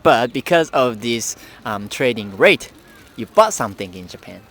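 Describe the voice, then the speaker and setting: A man's voice: mid-range voice, big pitch swings, normal speed, a bit bright. A man interviewing people on the street, asking simple questions and giving many short backchannel replies.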